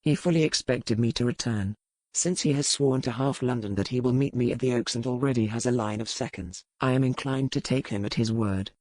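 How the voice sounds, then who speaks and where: A man playing a proud, battle-hardened English lord and pirate in a historical romance audiobook, speaking in formal, measured phrases. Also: mid-range voice, a little mellow, no room sound, natural voice, poor audio. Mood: proud, sardonic.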